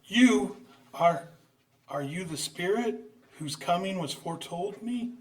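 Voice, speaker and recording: A man's voice: high voice, animated, slow pace. A man playing an anxious, wistful old miser in old-time radio drama style, stammering and exclaiming. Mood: frightened, pleading.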